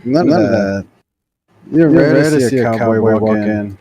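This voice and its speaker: A man's voice: fairly low pitch, unhurried, over a noisy crowd. A laid-back man from the United States chatting casually and slangily, with other voices chattering behind him. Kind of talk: casual chat.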